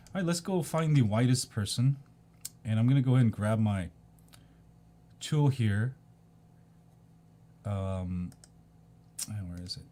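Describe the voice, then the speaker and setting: Casual vlogger: man, 40s, from South Korea, mellow warm tone, loose rambling delivery full of ums. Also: medium pitch. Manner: rambling, many ums and restarts, repeats "go ahead and". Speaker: video creator. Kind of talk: casual screen-share explainer.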